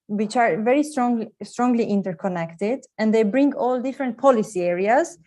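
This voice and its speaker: A woman giving an unscripted explanation as a panelist, halting and often rewording herself as she goes. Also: a little high, mellow. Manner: halting, self-correcting, tags phrases with "no". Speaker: UN secretariat officer, panelist.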